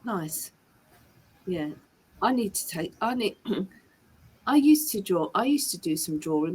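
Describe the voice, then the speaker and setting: A woman's voice: fairly low pitch, breathy edge, rough recording. A woman from England, tutoring a learner one to one and repeating and rephrasing her questions patiently. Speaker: English tutor. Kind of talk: online one-to-one English lesson.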